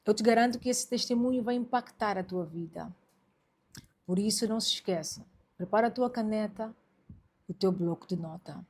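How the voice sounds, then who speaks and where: A woman's voice: mid-range voice, normal speed, a little mellow. A woman hosting a religious podcast, preaching to listeners in an emphatic, exhorting way and addressing them directly. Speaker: podcast host.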